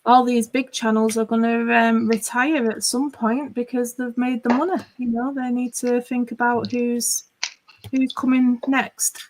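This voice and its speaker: A woman's voice: a little high, flat delivery, unhurried, darkish tone, slight background noise, poor audio. Chatty woman from northwest England. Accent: northwest English. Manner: chatty, rambling, many fillers. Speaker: livestream host. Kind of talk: casual chat.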